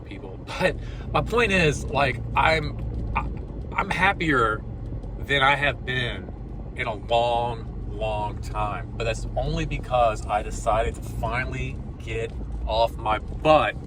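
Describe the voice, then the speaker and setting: A man's voice: medium pitch, distant mic, background noise. Rambling upbeat man in his forties. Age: 40s. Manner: rambling, upbeat, full of fillers. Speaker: vlogger. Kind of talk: casual motivational vlog.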